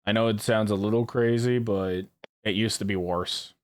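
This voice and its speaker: Young man, fairly low voice, podcast host, casual, rambling, repetitive, sweary. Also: fairly even intonation, normal speed, a little mellow. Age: early 20s. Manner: rambling, repeats himself, swears freely. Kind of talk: casual chat with friends.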